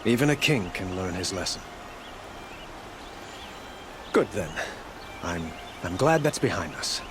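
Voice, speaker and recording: A humbled king in a game cinematic. His low voice is formal and gently courteous over clear ambient noise. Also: big pitch swings, moderate pace. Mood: humble, earnest. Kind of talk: video game cinematic dialogue.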